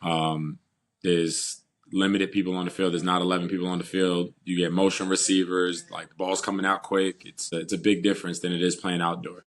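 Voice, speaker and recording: A young man from New York talks about his football career in a calm, steady way. His voice is very deep and stays flat and even in pitch. He answers at an unhurried, relaxed pace. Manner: calm, steady storytelling with "uh" and "you know". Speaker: football player, guest. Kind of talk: casual interview answers.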